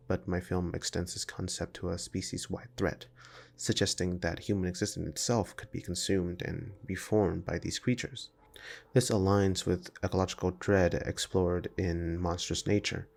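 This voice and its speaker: A low male voice with plenty of vocal fry narrates a scripted video essay. He reads in a formal, academic way, laying out analysis point by point. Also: darkish tone.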